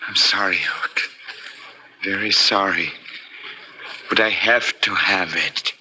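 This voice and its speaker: An actor playing an obsessed, half-mad pianist in a 1940s radio thriller, speaking and narrating in an intense, halting, brooding way. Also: male voice, low-pitched, bright, forceful tone, heavily acted. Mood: grim, intense. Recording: hissy 1940s radio recording.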